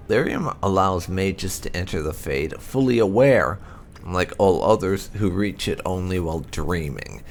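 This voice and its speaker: A man reading fantasy game lore aloud as narration, in a deliberate, expressive manner with dramatic stresses. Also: deep voice, gravelly. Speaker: host of a game playthrough channel.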